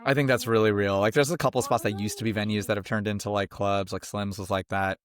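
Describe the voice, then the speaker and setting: A man's voice: lowish voice, fairly even intonation, fast, smooth, no echo. A young man from a band, a guest on a podcast, talking in a rambling, laid-back way full of fillers. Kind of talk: casual interview chat.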